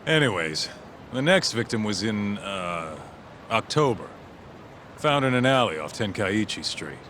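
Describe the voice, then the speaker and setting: Skeptical detective's partner for a game: deep, lively, streetwise, faint street noise behind. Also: male voice, a little slow. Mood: matter-of-fact. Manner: skeptical, slangy. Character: streetwise, grumbling male detective's sidekick. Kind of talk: English video game voice acting.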